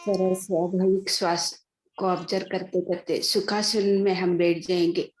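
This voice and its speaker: A woman teaching guided meditation, giving gentle step-by-step instructions with pauses. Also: lowish voice, little pitch movement.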